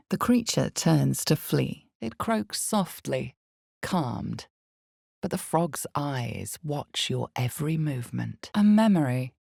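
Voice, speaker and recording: An ominous fantasy game narrator, super low female voice, slow and deliberate, intimate dramatic storytelling. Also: gravelly, mellow, breathy edge, dry recording, clean studio sound, exaggerated voice. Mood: measured, eerie.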